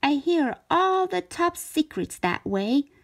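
A woman voicing a restless cartoon shape from a children's storybook, with emphatic, drawn-out words. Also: high voice, big pitch swings, creaky, pitched above the performer's own voice, exaggerated voice. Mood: eager, pleased. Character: restless, dissatisfied cartoon shape, eager for more.

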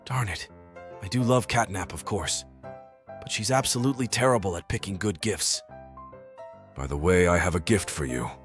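A man voicing a polite but boastful, quietly exasperated male dog in a cartoon comic dub. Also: low voice, normal speed, pauses often, faint music behind, lightly acted. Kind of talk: comic dub character acting.

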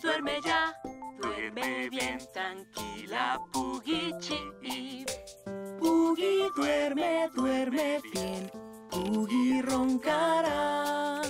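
Tender cartoon mother, high voice, slow lullaby singing, loud music. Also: animated, exaggerated voice. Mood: soothing.

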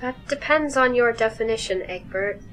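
A woman's voice: on the high side, normal speed, a bit bright, natural voice. A woman voicing a wry, probing, articulate young woman in a fan dub, with an arch and wordy manner.

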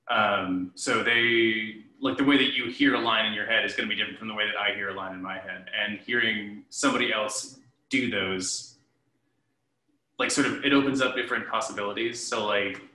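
Guy in his 30s on a panel call, kinda low but sharp voice, roomy echo. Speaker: director and performer, panel guest. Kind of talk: casual panel chat. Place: indoors at home, room with bookshelf, video call. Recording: echoey room, shared mic.

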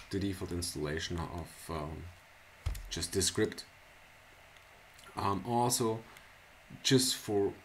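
A man in his thirties from Switzerland, a native Swiss German speaker, walking through a software setup in an unhurried, halting way with many fillers and restarts. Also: low voice, slight background noise. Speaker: software tutorial presenter. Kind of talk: casual screencast tutorial. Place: small indoor room at a computer.

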